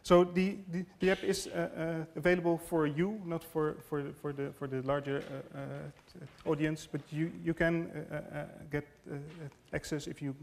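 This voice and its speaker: A middle-aged man giving a live demo talk in a lecture hall, hesitant and halting, often restarting his words. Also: a little high, fairly even intonation, vocal fry. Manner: hesitant, halting delivery with repeated word starts. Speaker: app developer presenting a demo. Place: large lecture hall, on stage at a lectern. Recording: noisy live hall recording.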